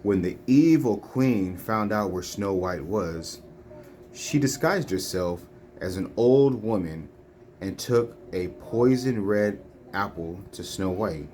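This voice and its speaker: A woman reading children's storybooks aloud in a deliberate, phrase-by-phrase way. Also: very deep voice, lively intonation, mellow. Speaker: storybook reader. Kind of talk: children's read-along narration. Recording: faint background noise, home recording.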